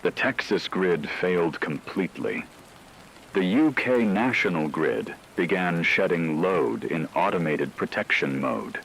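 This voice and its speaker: Man acting as grave disaster expert, low voice, measured formal delivery, scripted radio drama, faint hiss. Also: lively intonation, vocal fry, a bit bright, exaggerated voice, rough recording. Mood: grave, clinical. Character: grave male expert briefing on a global disaster.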